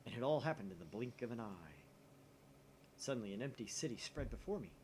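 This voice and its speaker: A man reading a visual novel aloud in theatrical, expressive narration. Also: mid-range voice, brisk, slight background noise, low-quality recording. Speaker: gaming channel host.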